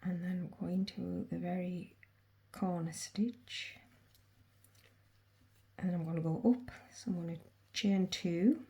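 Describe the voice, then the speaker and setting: An unhurried woman with a Northern English accent explains her crochet project, chatting half to herself as she works. Her voice sits low and mellow, thickened by a sniffly cold. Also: steady tone, gravelly. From England. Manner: chatty, talks to herself while working. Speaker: crochet tutorial host. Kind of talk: casual crochet demonstration.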